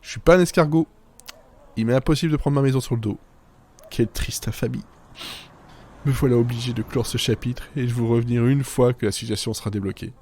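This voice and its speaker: A man's voice: medium pitch, brisk, darkish tone, slight background noise. A man delivering a scripted first-person monologue as a writer-narrator, whimsical and self-mocking, with playful asides. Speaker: writer narrating own fiction podcast. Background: music.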